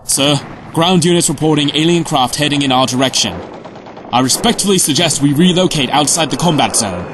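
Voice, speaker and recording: A man's voice: a little high, expressive, fairly quick, lightly acted. An actor in an audio drama playing a formal, dutiful male army general reporting to superiors. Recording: clear battle noise behind.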